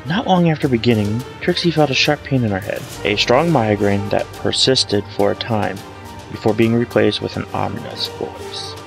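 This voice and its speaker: A man's voice: normal speed, gravelly. A man reading a fan fiction story aloud as a dramatic scripted narration. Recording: low-grade home microphone, music bed. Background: soft dramatic background music.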